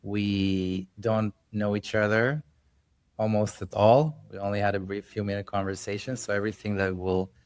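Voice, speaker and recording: A man hosting a podcast, welcoming his guest and speaking in a calm, reflective way. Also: fairly low pitch, normal speed, smooth, no room sound. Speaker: podcast host.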